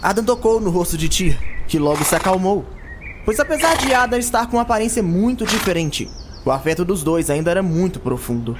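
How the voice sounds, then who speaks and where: A man's voice: high voice, fairly quick, background noise. A man narrating a scripted fantasy audio drama as a dramatic storyteller. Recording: loud music behind the narration.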